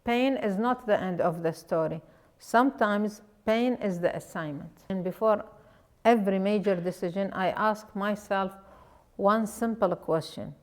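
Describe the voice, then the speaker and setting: A woman with an Iraqi Arabic accent, an educator and life coach, telling her own story earnestly with many pauses and restarts. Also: mid-range voice. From Iraq. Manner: earnest, pausing often with fillers and restarts. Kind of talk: personal story talk.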